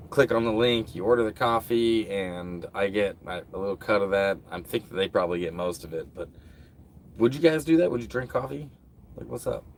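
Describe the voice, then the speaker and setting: A man's voice: lowish voice, a little hiss or hum. A man hosting a casual livestream, rambling to his viewers with lots of fillers. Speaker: livestream host.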